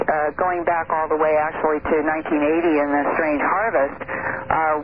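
A middle-aged woman speaking as a guest on a radio interview, telling her findings in an earnest, measured, detailed way over the phone. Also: lowish voice, background noise. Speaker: guest investigator. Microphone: phone call into radio show. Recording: tinny phone line on old radio broadcast.

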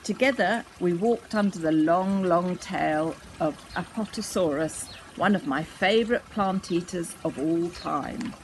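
A woman's voice: lowish voice, measured pace, few pauses, poor audio. A woman in her sixties reading a children's story aloud outdoors in a garden. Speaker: storybook reader. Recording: faint outdoor background noise.

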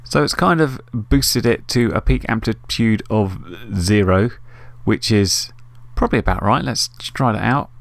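Man, lowish pitch, noticeable vocal fry, casual tutorial host, thinking aloud, dry recording. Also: a little slow, hardly any pauses, dry recording. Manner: informal, thinking aloud as he works. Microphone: USB desktop microphone.

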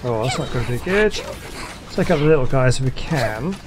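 A man's voice: mid-range voice, expressive, a little fast. A man giving casual gameplay commentary, hesitant and talking half to himself. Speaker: gaming YouTuber. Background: game music.

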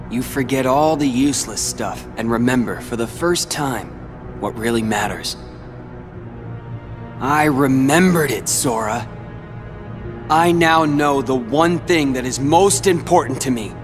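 A bitter young rival speaks in a cold, taunting way, voiced by a man. He talks very slowly. Loud game music plays behind him. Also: medium pitch, lightly acted. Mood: brooding.

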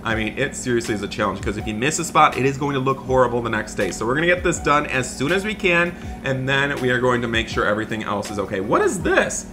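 A man's voice: mid-range voice, sing-song, fast talker, hardly any pauses. A young man doing dramatic, emphatic roleplay commentary over a video game for his viewers, often repeating his exclamations. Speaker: gaming video creator. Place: indoors, voice-over on game footage. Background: music.

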